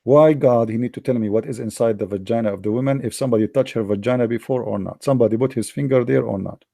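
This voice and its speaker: A man's voice: mid-range voice, fast, vocal fry, mellow, dry recording, clean studio sound. A man whose first language is Arabic, hosting an online debate with a caller. He talks insistently, repeats his words and fires off rhetorical questions. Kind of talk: argumentative call-in debate.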